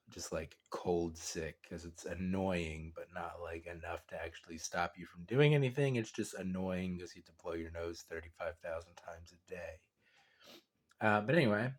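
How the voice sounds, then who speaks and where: Deep-voiced man, stuffed up with a cold. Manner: relaxed, rambling, many fillers. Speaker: knife collector reviewer. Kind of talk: casual product review.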